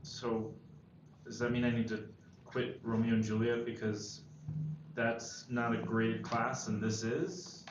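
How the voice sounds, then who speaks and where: Earnest, stressed male high school senior, read by a man, hesitant delivery; roomy, muffled table-read sound. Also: level tone, takes it slowly, natural voice. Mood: stressed, polite. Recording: echoey, dull, faintly noisy room recording.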